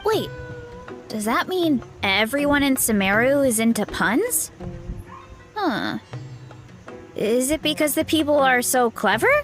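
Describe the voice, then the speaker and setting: Earnest young game heroine: higher pitched, good-natured, musing, a little puzzled, light music bed. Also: female voice, very animated, measured pace, pauses often. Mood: curious, musing. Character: sweet, earnest, curious young woman, slow to catch on. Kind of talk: video game voice acting.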